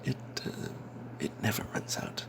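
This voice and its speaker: Man, breathy ASMR whisper, halting storytelling, slow pace, clear background noise. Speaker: narrator of a fantasy tabletop tale.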